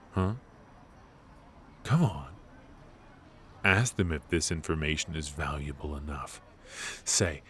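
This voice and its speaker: Casting: male actor as shrewd, ruthless mafia boss for noir ASMR roleplay; extremely low voice, slow delivery, teasing and smug. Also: slight background noise. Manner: unhurried, smug, probing, with pauses and musing hums. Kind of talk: intimate ASMR roleplay, noir audio drama.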